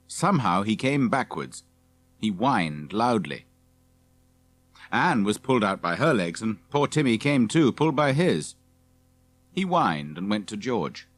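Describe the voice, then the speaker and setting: A man's voice: lowish voice, no echo. A man reading scripted narration for a children's audiobook.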